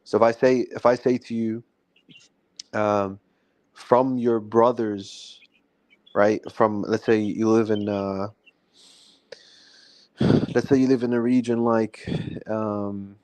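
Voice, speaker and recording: A man's voice: lowish voice, level tone, takes it slowly, long pauses, a little mellow, smooth, no room sound. A man from Lebanon in an informal religious debate, explaining his argument and often checking with the listener. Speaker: debate panelist.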